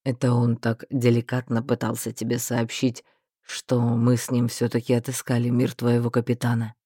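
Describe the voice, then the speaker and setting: A woman voicing a calm, authoritative male mage who speaks quietly and evenly. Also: extremely low pitch, husky, dry recording, studio quality, pitched below the performer's own voice. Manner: level, quiet, measured.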